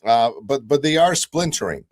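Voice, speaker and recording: A man's voice: medium pitch, animated, normal speed. A man whose first language is Russian, talking politics casually on a livestream, hesitant with many fillers and restarts. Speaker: guest commentator. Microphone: remote livestream call.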